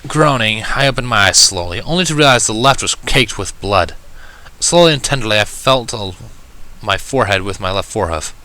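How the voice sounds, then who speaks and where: A man reading a first-person story aloud with an expressive, wry, self-deprecating delivery. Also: on the low side, fairly quick, poor audio. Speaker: fan fiction reader.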